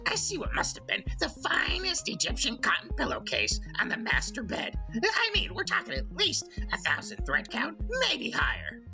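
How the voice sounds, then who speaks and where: Casting: a sly, smirking male goblin talk host, animated and gravelly, rambling through self-justifying comedy banter. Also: high voice, moderate pace, hardly any pauses, music in the background, heavily acted. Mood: boastful storytelling. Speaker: radio call-in host. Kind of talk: comedic in-character monologue and banter.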